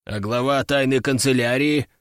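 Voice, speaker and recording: A man's voice: medium pitch, fairly even intonation, moderate pace, fairly bright tone, clear, resonant tone, no echo, clean studio sound, natural voice. A man reading the part of a suspicious imperial inspector who asks short, curt questions. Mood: probing. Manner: curt, interrogating.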